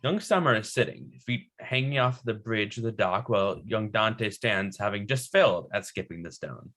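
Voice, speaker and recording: Man, slightly low pitch, bright forward tone, script narration, stage directions reader. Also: lively intonation, normal speed. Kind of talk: script narration at a table read.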